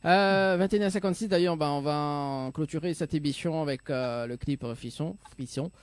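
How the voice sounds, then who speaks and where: A man hosting a live radio and TV show from the studio, playful and quick to laugh. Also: a little high, crowd noise. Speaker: radio and TV host.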